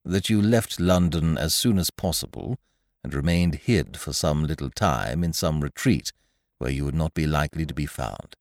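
A man voicing an unflappable male valet who speaks quietly, formally and deferentially. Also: very deep voice, normal speed, no room sound, clean studio sound, pitched below the performer's own voice. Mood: calm.

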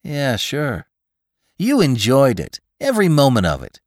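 A man's voice: mid-range voice, huge pitch swings, unhurried, dry recording, clean studio sound, pitched above the performer's own voice. A man voicing a nervous, sarcastic photographer who exclaims and grumbles through danger in a dramatised story. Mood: wry, nervous. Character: nervous, sardonic male photographer in mortal danger. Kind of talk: dramatised audiobook character voice.

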